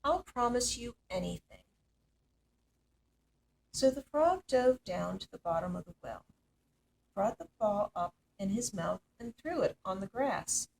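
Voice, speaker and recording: A woman reading a children's story aloud as an expressive narrator. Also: mid-range voice, unhurried, creaky, slight background noise, low-quality recording. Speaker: storytime reader.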